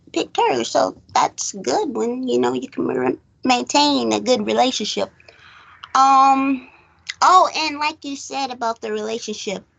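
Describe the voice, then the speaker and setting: A woman's voice: a little high, gravelly, fairly bright tone, breathy edge, rough recording. A woman hosting a casual film discussion, rambling conversationally and thinking aloud as she goes. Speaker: host of a film recap show.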